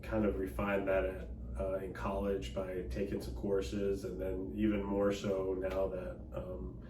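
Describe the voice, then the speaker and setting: Man in his 40s, Cantonese first language, very low and even, relaxed interview guest, recorded far off in a reverberant room. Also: measured pace. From Dallas, Texas. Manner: relaxed, conversational, frequent fillers. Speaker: political candidate and attorney. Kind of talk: casual interview conversation. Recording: echoey room, distant mic, background noise.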